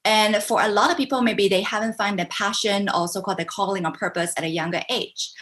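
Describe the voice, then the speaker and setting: A woman's voice: mid-range voice, fairly quick, fairly bright tone. An enthusiastic middle-aged woman, born in Hong Kong and raised in Canada, talks casually about her work as a business coach. She laughs easily and speaks over a video call. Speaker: podcast guest, business coach. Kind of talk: casual interview chat.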